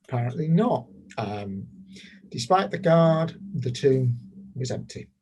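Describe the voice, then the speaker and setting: An actor playing a casually callous, self-justifying Roman governor giving courtroom testimony in a hesitant, dismissive, conversational way. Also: male voice, medium pitch, expressive, measured pace, a little mellow, slightly rough. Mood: dismissive, evasive.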